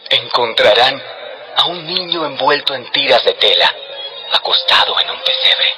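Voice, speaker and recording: Slow, exalted angel played by a man. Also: medium pitch, animated, pushed and bright, soft background music. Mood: reassuring, exalted. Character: solemn male angel announcing joyful news to shepherds. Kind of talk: dramatized scripture audio drama.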